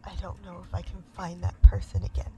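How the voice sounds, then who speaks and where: An actress playing a haunted, restless woman in an audio drama monologue, halting, intense and emotional. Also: fairly low pitch, sing-song. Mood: anguished, determined. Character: haunted, restless woman desperate to recover her lost identity.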